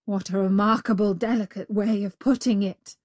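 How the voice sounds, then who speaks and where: A woman's voice: mid-range voice, fairly even intonation, moderate pace, a little mellow, dry recording, studio quality, natural voice. A woman voicing a frightened, wretched man in a storybook, polite and pleading. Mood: dread. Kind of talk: storybook character voice.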